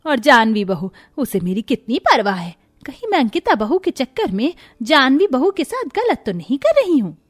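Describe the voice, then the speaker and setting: Woman acting a dramatic, high-pitched greedy mother-in-law. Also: big pitch swings, moderate pace, few pauses. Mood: troubled. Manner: dramatic, fussing. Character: greedy, fawning middle-aged Indian mother-in-law. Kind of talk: cartoon moral story acting.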